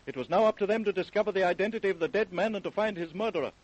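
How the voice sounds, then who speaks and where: A man's voice: sits high, very fast, dull audio. A man playing an urgent, solemn American narrator of an old-time radio crime drama. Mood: dramatic.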